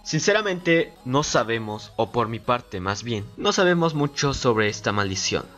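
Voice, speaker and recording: A man's voice: mid-range voice, sing-song, unhurried, a bit bright, faint music behind. A man giving scripted narration as a guest collaborator on an online video.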